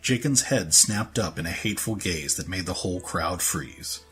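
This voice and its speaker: A male narrator with a deep, low voice reads a dramatic scripted story. Faint music plays behind the narration. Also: expressive, moderate pace, fairly bright tone.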